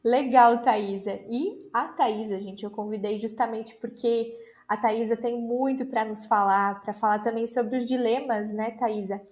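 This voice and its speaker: A woman hosting a casual podcast chat, lively and chatty. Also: fairly high pitch, measured pace, few pauses. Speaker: podcast host.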